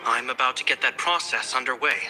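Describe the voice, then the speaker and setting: A formal, composed young officer. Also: male voice, mid-range voice, pushed and bright. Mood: composed, businesslike. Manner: measured, formal, polite. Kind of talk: video game voice acting. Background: background music.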